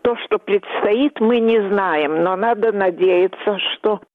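An older woman with a slight English accent, a piano professor answering interview questions slowly and thoughtfully over a phone line. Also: medium pitch, dry recording. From Lithuania. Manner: slow, thoughtful, measured. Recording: dry, tinny phone line.

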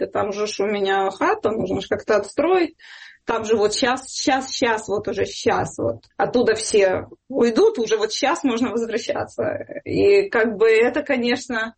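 Husky, higher-pitched woman interviewee. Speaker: charity fund volunteer being interviewed. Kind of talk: spoken interview answers with anecdotes.

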